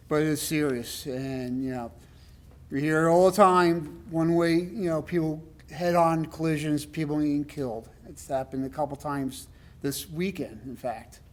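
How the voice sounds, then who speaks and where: A man with a loud voice giving a rambling, emphatic public comment at a town meeting, with many fillers. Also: on the high side. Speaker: resident speaking at public comment.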